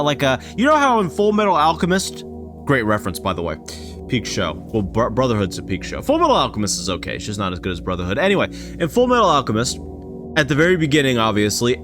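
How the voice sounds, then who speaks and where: Casual male reaction commentator, animated and rambling, speaking over prominent background music. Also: mid-range voice. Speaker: reaction video creator. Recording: loud music under the voice. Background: background music from games.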